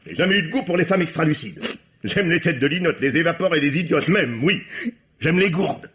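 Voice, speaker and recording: An actor playing a cold, sarcastic lover, cutting and theatrical, in a French vintage radio drama. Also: male voice, fairly high pitch, expressive, fairly quick, a bit bright. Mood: mocking. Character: cold, mocking, cruel male lover eager to leave. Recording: dull vintage radio recording.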